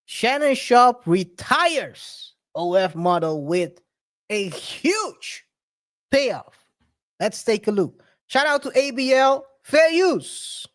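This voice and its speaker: A woman hosting a casual commentary show, animated and often repeating words as she talks. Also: on the high side, takes it slowly. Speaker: show host.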